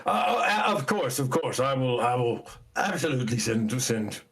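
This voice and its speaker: Brief: man acts a earnest, hesitant, grateful fellow minding children, mid-pitched, tabletop game. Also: animated, fairly bright tone, close to an everyday voice. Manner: halting, earnest, polite.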